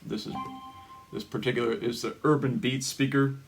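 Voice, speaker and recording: A man presenting a hobby gear tutorial in a casual, unscripted way, with halting, rambling explanations. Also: medium pitch, fairly even intonation, soft background music. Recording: noticeable background noise with clicks.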